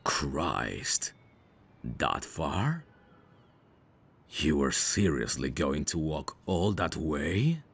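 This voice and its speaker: A man acting out a dangerous, suave mafia boss for an ASMR roleplay, with an extremely deep voice that creaks with gravel. He takes his time, drawing words out very slowly in a cocky, flirtatious, teasing way. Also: sing-song, heavily acted. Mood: amused, concerned. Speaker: voice actor.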